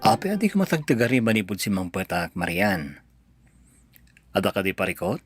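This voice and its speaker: A man's voice: low voice, very animated, moderate pace, breathy edge, no echo, soft background music, heavily acted. A man playing an earnest, pained son and devoted husband in a radio drama, pleading, with an Ilocano accent. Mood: tender, worried.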